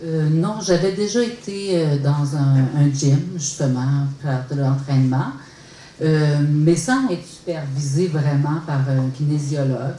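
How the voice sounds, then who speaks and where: Low-voiced Québécois woman, fifties, halting testimony, distant roomy hall sound. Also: dark tone, slight background noise. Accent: Québécois French. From Quebec. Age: 50s. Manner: hesitant, many fillers and restarts. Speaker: research study participant. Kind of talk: public testimony at a talk. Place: lecture hall.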